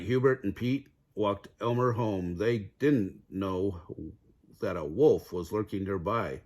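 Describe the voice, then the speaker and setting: A man narrating a children's fairy tale aloud in an expressive storytelling style. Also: lowish voice. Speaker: storybook reader.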